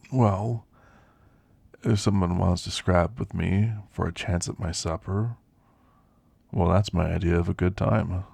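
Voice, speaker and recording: Man acting a deep, gravelly old werewolf. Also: a little mellow, dry recording, full character voice. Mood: wry, easygoing. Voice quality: gravelly, husky. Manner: slow, understated, reminiscing storyteller. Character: elderly male werewolf, weathered, wry, reflective, scrappy. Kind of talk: ASMR roleplay monologue. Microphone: close, dry microphone.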